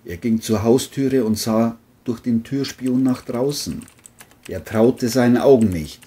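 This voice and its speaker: A woman's voice: rumbling low, unhurried, mellow. A woman narrating a scripted crime story.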